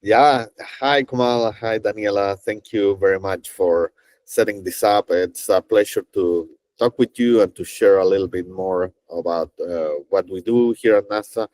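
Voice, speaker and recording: Man, middle-aged, halting interview guest, dry close mic. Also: fairly low pitch, a little mellow, no room sound. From Chile. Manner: halting, many fillers and restarts, storytelling. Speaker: NASA engineer, guest. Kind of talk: conversational interview answers.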